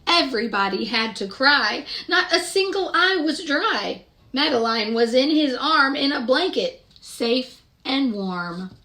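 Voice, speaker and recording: A woman with a high, bright voice reads a storybook to young children. She takes it slowly and expressively, shaping each phrase with care. Now and then she speaks directly to her listeners. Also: lively intonation. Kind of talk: picture-book read-aloud with questions to young listeners.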